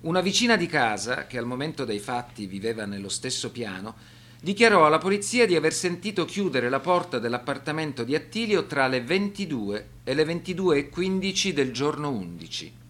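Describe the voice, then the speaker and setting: A man reading scripted radio documentary narration in a measured, serious, formal manner. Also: on the high side, sing-song, fairly bright tone.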